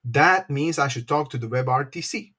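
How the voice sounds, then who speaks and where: A man with a medium-pitched voice presents a live technical tutorial. He explains informally and a little haltingly, pausing often as he walks through each step. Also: pushed and bright, breathy edge. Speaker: presenter, company developer advocate.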